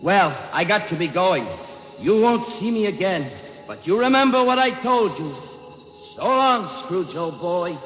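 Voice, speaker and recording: A man playing a sardonic, spooky ghost of a dead businessman, slangy drawn-out delivery and ghostly reverb, in a 1950s radio play. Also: high voice, big pitch swings. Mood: ominous warning. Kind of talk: 1950s American radio comedy-drama acting. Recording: dull old radio recording, long reverb, faint music.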